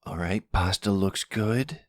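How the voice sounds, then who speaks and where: Man voicing a cheeky, husky young son. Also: low-pitched, a little slow, dry recording, clean studio sound. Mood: earnest, amused. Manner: casual, teasing, blunt. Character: cheeky, protective young son, an aspiring chef. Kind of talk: roleplay audio drama.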